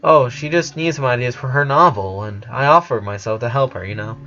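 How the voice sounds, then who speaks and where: A man's voice: medium pitch, brisk, pushed and bright, highs cut off. A man reading the part of a kind, worried eleven-year-old boy in a story, hesitant and earnest. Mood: casual, evasive.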